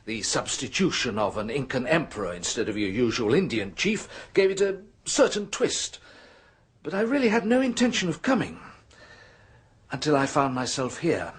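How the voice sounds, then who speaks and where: A learned professor of ancient history in an old radio drama, speaking with a husky, unpolished tone. His pitch rises and falls a lot as he moves from halting politeness to a breathless, whispered fervor. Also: sing-song. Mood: earnest. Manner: hesitant, then rising to hushed intensity. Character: scholarly male professor of ancient history, earnest and intense. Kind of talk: 1970s radio drama acting.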